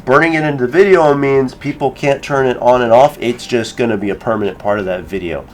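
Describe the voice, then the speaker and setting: A man giving a casual software tutorial, informally thinking aloud as he works through each step. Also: medium pitch, fairly quick, a little hiss or hum. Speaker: tutorial creator.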